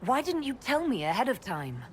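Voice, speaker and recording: A woman's voice: on the high side, very animated, moderate pace, slight background noise. An actress playing a female elf mage leader, firm, caring and direct, in a video game cutscene. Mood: concerned. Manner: direct, earnest.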